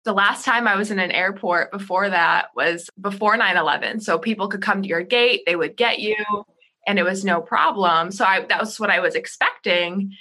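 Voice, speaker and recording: A woman in her thirties, a teacher being interviewed, telling stories in a chatty, animated way. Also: medium pitch, normal speed, breathy edge. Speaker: podcast guest, ESL teacher. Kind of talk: casual interview storytelling.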